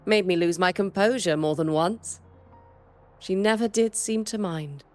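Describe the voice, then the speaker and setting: Game role, actress: world-weary female instructor, slightly low register, stern, composed and dry, soft music underneath. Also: measured pace, natural voice. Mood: wry, wistful. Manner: composed, dry, matter-of-fact. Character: stern, dry, world-weary former teacher, adult woman. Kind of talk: scripted game dialogue.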